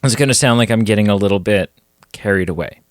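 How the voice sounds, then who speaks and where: A man co-hosting a casual podcast chat, going hoarse as he talks, rambling with quick self-deprecating asides. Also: fairly low pitch, dry recording, clean studio sound. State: losing his voice. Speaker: podcast co-host.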